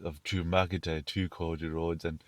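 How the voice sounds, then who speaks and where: Croaky man with a deep voice. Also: little pitch movement, unhurried, no room sound. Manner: rambling, with frequent restarts and fillers. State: croaky from illness. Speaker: show host. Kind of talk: casual wrestling commentary monologue.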